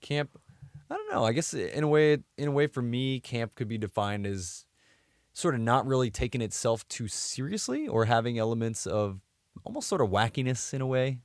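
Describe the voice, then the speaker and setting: A man's voice: medium pitch, lively intonation, normal speed, no room sound, studio quality. A man co-hosting a podcast, chatting casually and thinking aloud with plenty of hedging. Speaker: podcast co-host. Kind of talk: casual chat.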